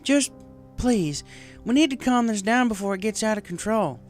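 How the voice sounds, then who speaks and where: Man plays young female farm pony, folksy, earnest, direct, pitched up. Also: lively intonation, moderate pace, faint music behind. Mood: pleading.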